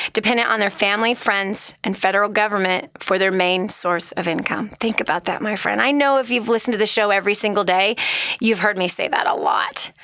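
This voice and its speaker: A woman's voice: mid-range voice, pushed and bright, dry recording, clean studio sound. A woman hosting a radio talk show, speaking earnestly to listeners with rhetorical questions and frequent restarts. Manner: frequent restarts and repeated words. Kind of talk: motivational talk show monologue.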